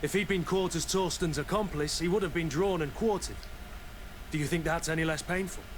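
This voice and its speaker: An actor playing a loyal mercenary soldier bent on justice in a video game, grave and plain-spoken. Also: male voice, a little high, fairly quick, slight background noise, close to an everyday voice. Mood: grim.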